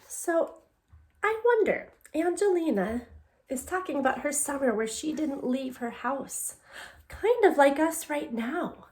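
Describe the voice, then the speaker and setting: A woman with a fairly high voice speaks very slowly, as a teacher does with young children. She phrases things with care and emphasis, encouraging her listeners and talking to them directly. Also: expressive, darkish tone. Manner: encouraging, asks questions and answers them. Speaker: elementary teacher reading a picture book aloud.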